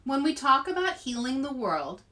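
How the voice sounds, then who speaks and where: A woman voicing a kindly woman rabbi in a storybook, preaching in a measured, earnest, encouraging way. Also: high-pitched, big pitch swings, bright, forceful tone, distant mic, voice pushed up, heavily acted.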